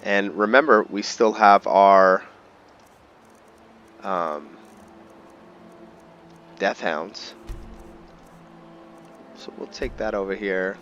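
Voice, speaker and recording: A man's voice: lowish voice, fairly even intonation, very slow, long pauses, a bit bright, dull audio. A man talks through his own video game playthrough. He takes his time, musing casually to himself. There is faint noise behind him. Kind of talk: casual gameplay commentary, thinking aloud.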